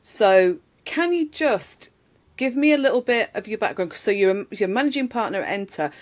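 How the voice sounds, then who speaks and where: A woman's voice: mid-range voice, lively intonation, normal speed. A woman hosting a podcast, chatty and laughing easily, talking with a remote guest. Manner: chatty, laughs often, says "love". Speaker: podcast host. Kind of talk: casual interview chat.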